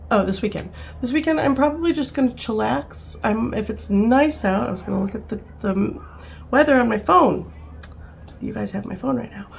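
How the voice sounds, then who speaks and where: A woman's voice: fairly high pitch, expressive, normal speed, creaky, a little hiss or hum. A woman in her fifties, rambling and chatty, talking casually to camera at home, with frequent laughs and fillers. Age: 50s. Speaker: vlogger.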